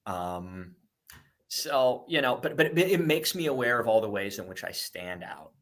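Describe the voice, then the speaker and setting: A middle-aged man from the United States speaks as a guest in a conversational interview. His voice sits a little low but sounds bright and forceful, and he stumbles through frequent restarts and repeated words. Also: very animated, moderate pace.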